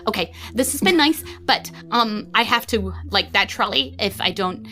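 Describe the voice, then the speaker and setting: A woman's voice: medium pitch, lively intonation, normal speed, sharp tone, faint music behind. A woman voicing a nervous, bookish library assistant in a tabletop game, confiding and rambling hesitantly. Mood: flustered.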